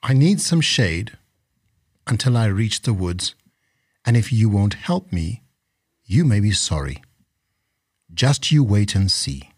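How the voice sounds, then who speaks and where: Man voicing a husky, slow old African chief. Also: lowish voice, very animated, dry recording, clean studio sound, pitched below the performer's own voice, exaggerated voice. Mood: indignant, stern. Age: older. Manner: slow, weary, stern. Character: tired, aggrieved old African chief with magic. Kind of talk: storybook character voice.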